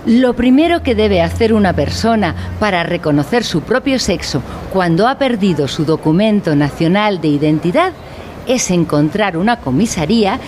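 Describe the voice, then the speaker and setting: A woman's voice: mid-range voice, normal speed, slight background noise, lightly acted. A woman playing an earnest, formal instructor in a satirical educational radio drama. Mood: earnest, didactic. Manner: didactic, deadpan, formal. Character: earnest female instructor of an absurd mock educational course.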